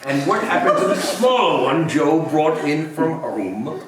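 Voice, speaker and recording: A man's voice: fairly high pitch, sing-song, a little slow. Grumpy old miser played by a man, curt and dismissive, swinging delivery, distant in a very echoey room. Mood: indignant. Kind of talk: amateur comic dramatic play reading. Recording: very echoey room, distant mic, faint noise.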